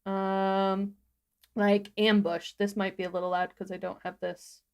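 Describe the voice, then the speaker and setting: A woman's voice: mid-range voice, little pitch movement, a little slow, clear, resonant tone, faint music behind. A woman co-hosting a livestream tutorial, talking in an enthusiastic and informal way as she explains things. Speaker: livestream co-host. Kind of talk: casual software tutorial chat.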